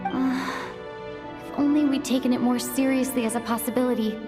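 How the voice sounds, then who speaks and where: Woman playing a thoughtful, higher-voiced young traveler. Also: fairly even intonation, over music, lightly acted. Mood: pensive. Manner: measured, reflective, reasoning things through. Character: thoughtful, level-headed young female traveler. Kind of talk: video game cutscene acting.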